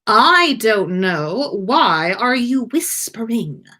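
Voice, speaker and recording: A woman's voice: a little high, sing-song, extremely slow, sharp tone, full character voice. A woman voicing a haughty, irritable young wizard man in a story, snooty and exasperated. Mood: muttering.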